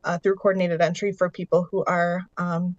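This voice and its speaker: A woman's voice: on the low side, normal speed, no echo, muffled recording. A congested woman presenting a funding update at a public meeting, explaining figures and programs step by step over a video call. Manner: steady, explanatory walk-through of figures and programs. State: congested, under the weather. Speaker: staff presenter at a county board meeting.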